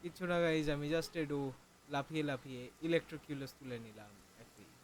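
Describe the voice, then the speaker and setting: Bengali guy streaming a game, talks super fast, playful, bit of noise behind. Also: fairly high pitch. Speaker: gaming streamer. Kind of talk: casual gameplay commentary. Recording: faint background noise.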